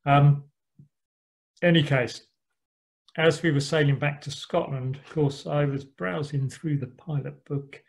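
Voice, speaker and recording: A middle-aged man giving a relaxed slide talk about a sailing voyage, with frequent ums and dry asides. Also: on the high side, a little slow, vocal fry. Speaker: yacht club speaker. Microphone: online call microphone.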